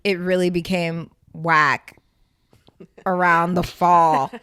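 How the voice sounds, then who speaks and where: A woman's voice: fairly low pitch, level tone, takes it slowly, bright, forceful tone, clear, resonant tone, no echo, studio quality. A woman in her twenties, a podcast guest, chatting candidly and swearing freely into a handheld mic in a living room. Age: 20s. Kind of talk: casual podcast chat. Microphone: handheld microphone.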